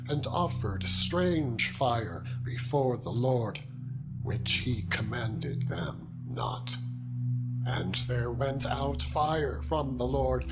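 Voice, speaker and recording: Male narrator for dramatized scripture, mid-pitched, very slow and solemn delivery, prominent music underneath. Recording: music throughout. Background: loud instrumental music.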